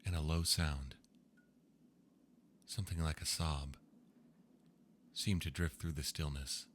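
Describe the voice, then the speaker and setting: Very deep-voiced man narrating ghost stories. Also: steady tone, slight background noise. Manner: slow, hushed storytelling. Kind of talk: scripted ghost story narration.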